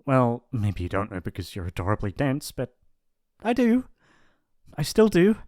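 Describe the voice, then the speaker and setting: A man's voice: mid-range voice, huge pitch swings, moderate pace, darkish tone, dry recording, clean studio sound. A man playing a shy, apologetic young mafia boss's son in an intimate ASMR roleplay, with a hesitant, rambling, awkward delivery. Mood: shy, confessing.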